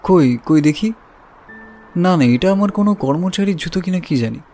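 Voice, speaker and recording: An actor playing a wary, evasive roadside shopkeeper in an audio drama, defensive and colloquial. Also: male voice, high voice, animated, normal speed, mellow. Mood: casual, wary. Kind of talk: audio story drama acting. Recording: music bed behind voice.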